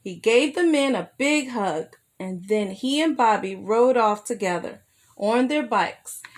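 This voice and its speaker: Female storyteller, higher-pitched, unhurried, expressive read-aloud for young children. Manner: addresses young listeners with simple questions. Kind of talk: read-aloud children's picture book narration with asides.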